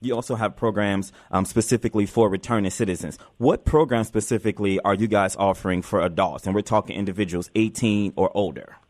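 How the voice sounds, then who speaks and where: A man's voice: on the low side, steady tone, brisk, hardly any pauses, a little mellow, no echo. A man hosting a live community radio interview show, often repeating himself as he goes.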